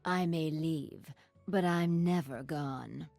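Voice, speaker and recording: A haughty female serpent villain from a video game. Her voice is silky and rather low, swooping widely as she taunts. She is venomous and seductive, heard dry and close over faint music. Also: takes it slowly, creaky, a little mellow, no room sound, exaggerated voice. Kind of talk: video game champion voice lines.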